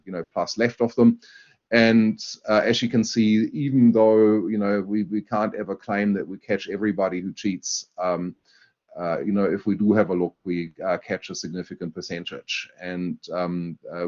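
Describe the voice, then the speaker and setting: A man with a German accent presenting a university webinar, talking in a halting way with many fillers and restarts. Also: fairly low pitch, a little mellow. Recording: dull lo-fi webinar audio.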